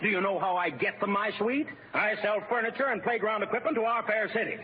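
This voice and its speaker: Man acting a blustering high-pitched scheming boss, old radio. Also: fast, lightly acted. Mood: sarcastic, exasperated. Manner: sarcastic, exasperated. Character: jealous, scheming, blustering political boss husband. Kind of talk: 1940s radio comedy acting. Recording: tinny, noisy vintage radio recording.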